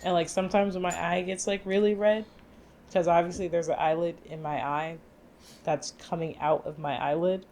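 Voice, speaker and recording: A woman's voice: fairly low pitch, flat delivery, normal speed, darkish tone, a little hiss or hum. A young adult woman co-hosting a podcast in casual chat, talking loosely with plenty of "like" fillers. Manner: chatty, many "like" fillers. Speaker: podcast co-host.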